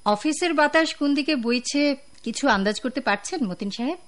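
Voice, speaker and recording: A woman with a Bangladeshi accent playing a discreet, observant office secretary in a radio drama, polite and measured. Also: high-pitched, expressive. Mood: shrewd.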